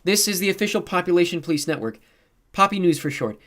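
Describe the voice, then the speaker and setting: A man voicing an excitable, chatty teenage girl who is playing at being a television reporter in a story. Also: sits high, fast talker, pitched above the performer's own voice. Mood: excited, unruffled.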